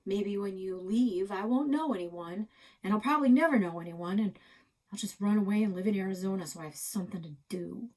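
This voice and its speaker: A woman's voice: mid-range voice, a little fast, dark tone, natural voice. A woman voicing a boastful, stubborn and sulky young boy in a children's storybook. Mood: sulky, defensive.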